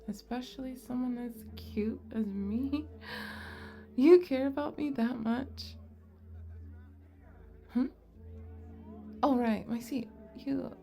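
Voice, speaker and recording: A sweet, nerdy little goblin girl, acted by a woman in an ASMR fantasy romance. Her voice is high and mellow, and she talks slowly and softly. She is bashful and hesitant, often stumbling over her words. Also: creaky. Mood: hesitant, touched. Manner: hesitant, soft-spoken, bashful stammering. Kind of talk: ASMR romantic roleplay acting.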